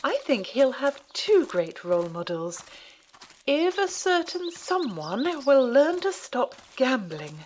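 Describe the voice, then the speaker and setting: A thoughtful religious sister, acted by a woman for an audio drama. Her voice is high and moves through a lively range, yet she takes her time. She is measured and wry, with a gentle teasing edge. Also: a little hiss or hum, exaggerated voice. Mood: gently teasing.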